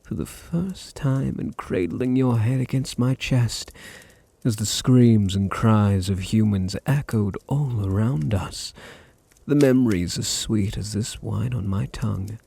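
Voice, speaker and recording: Man acting a deep, gravelly British vampire master. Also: no room sound, full character voice. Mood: smug, possessive. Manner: slow, purring, condescending, softly teasing. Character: possessive, teasing, dominant aristocratic male vampire master. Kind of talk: intimate ASMR roleplay.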